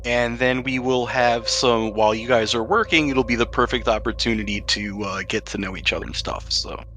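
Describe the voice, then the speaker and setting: A man's voice: medium pitch, normal speed, sharp tone, slight background noise. A man speaking as game master, narrating a tabletop role-playing game in a casual, conversational, jokey way.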